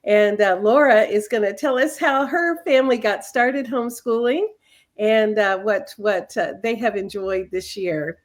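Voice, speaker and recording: A woman's voice: mid-range voice, a little slow. A woman hosting a podcast, chatting in an upbeat, enthusiastic way as she interviews a guest. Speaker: podcast host.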